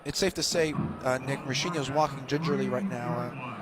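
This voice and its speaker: A man's voice: mid-range voice, brisk, a loud crowd. An American man giving opinionated, emphatic live radio analysis as a football color commentator, often repeating himself. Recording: loud constant stadium noise, outdoor broadcast.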